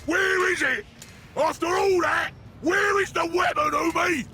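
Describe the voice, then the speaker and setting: A man playing a brutish, hot-tempered ork pilot boss in a video game, shouting gruffly. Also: very high voice, measured pace. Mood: angry, blustering. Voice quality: gravelly, shouting. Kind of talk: video game character acting. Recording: loud game music behind the voice.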